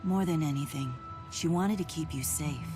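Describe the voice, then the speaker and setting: Woman playing a low-voiced, solemn noble spirit woman. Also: music in the background, natural voice. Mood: earnest, resolute. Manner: formal, earnest, measured. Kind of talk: anime game voice acting.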